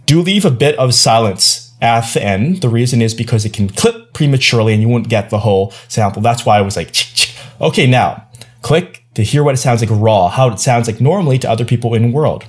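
A man's voice: mid-range voice, expressive, a little fast, faint music behind. Young male tutorial presenter, casual and playful, slangy asides, home screencast feel. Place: small indoor room at home.

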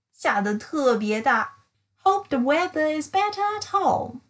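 A woman reading a children's picture book aloud, with careful, deliberate and expressive phrasing. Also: on the high side. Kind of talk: slow bilingual children's story read-aloud.

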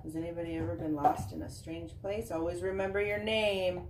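A woman reading a picture book aloud to children, expressive and singsong, with chatty asides, recorded indoors on a camera a short distance away. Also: fairly low pitch, fairly quick. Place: living room indoors. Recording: roomy, distant camera sound with faint noise.

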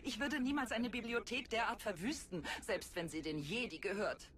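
An actress playing a haughty, sardonic villainess in a game, speaking in a theatrical, condescending way. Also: female voice, fairly high pitch, moderate pace, a bit bright, a little hiss or hum, lightly acted. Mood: scornful, philosophical. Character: haughty, sardonic female Sith villain, wounded but scornful. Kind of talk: dramatic game voice acting.

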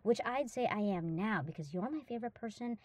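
A woman's voice: mid-range voice, expressive, fairly quick, lightly acted, low-quality recording. A woman playing a hyperactive, affectionate young dog-girl roommate in a roleplay audio drama, gushing and rambling eagerly to the listener. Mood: grateful, affectionate.

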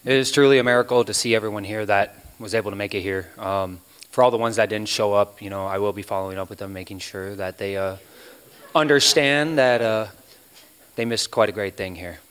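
A man's voice: fairly low pitch, a little fast, fairly bright tone, clean tone. A man thanking wedding guests, joking and hesitant with fillers. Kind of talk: casual thank-you speech.

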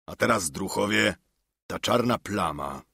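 Casting: a man playing a loud, scornful old pirate boss, lively and husky, for a storybook reading. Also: low-pitched, measured pace, dry recording, clean studio sound, heavily acted. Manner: mocking, threatening, loud. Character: cunning, domineering older male pirate leader.

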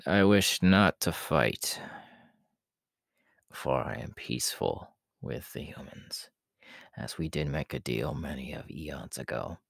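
A man playing a proud, menacing, world-weary demon king in an ASMR roleplay, talking in a deliberate, haughty way that often sinks into breathy whispers. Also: extremely low pitch, animated, no room sound, studio quality, exaggerated voice. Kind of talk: ASMR audio roleplay acting.

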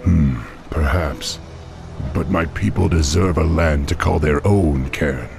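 An actor playing a young, noble orc war chief in a fantasy game, with a gravelly voice and a firm, formal manner. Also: male voice, a bass voice, slow pace, music in the background, full character voice. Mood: thoughtful, respectful. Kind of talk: fantasy video game acting.

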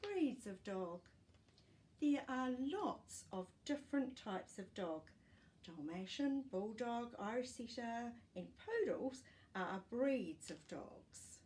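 A woman in her sixties reading a children's picture book aloud in an expressive, teacherly way, as if to young listeners. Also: fairly high pitch, slow. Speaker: children's storytime reader. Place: small furnished living room, indoors.

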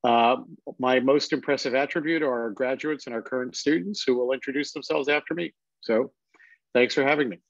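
A middle-aged man from Russia speaks as a college director with a dry, joking manner. The sound is dead and close, with a slightly muffled webcam tone. His pitch sits mid-range. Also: brisk, creaky, dry recording. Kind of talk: panel introduction.

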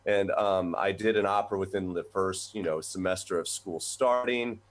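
A man in his forties, a voice professor from the United States, telling his background in a relaxed, rambling way over a video call from a small office. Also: low voice, moderate pace. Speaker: guest, voice professor. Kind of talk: conversational storytelling. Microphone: webcam on video call.

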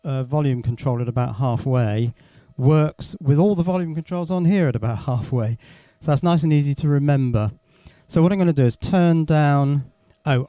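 A man's voice: medium pitch, darkish tone. A man presenting an electronics project in casual, explanatory talk, thinking aloud as he demonstrates at an indoor workbench.

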